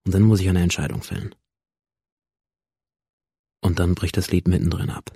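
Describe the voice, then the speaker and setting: Low husky brooding young student. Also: male voice, level tone, normal speed, warm tone, dry recording, clean studio sound, full character voice. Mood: brooding, hesitant. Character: brooding, detached male school student narrating his fatal decision. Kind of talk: first-person literary monologue, radio drama reading.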